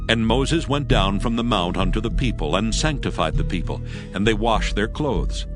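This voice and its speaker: A man with a lowish voice narrates scripture for an audio drama. His delivery is solemn and measured, and music plays clearly behind him. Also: animated.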